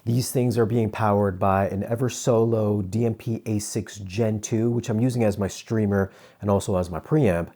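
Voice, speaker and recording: A man with a fairly low voice talks through a product review as a reviewer would on video. His delivery is casual and conversational, explaining details step by step in an informal way. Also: fairly even intonation, moderate pace, few pauses, mellow. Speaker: audio gear reviewer.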